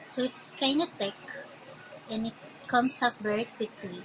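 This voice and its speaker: Slow, hesitant Filipino woman. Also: on the high side. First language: Tagalog. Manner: slow, hesitant, thinking aloud. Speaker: crafting vlogger. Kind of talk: casual show-and-tell. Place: home. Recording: dull lo-fi sound with background noise.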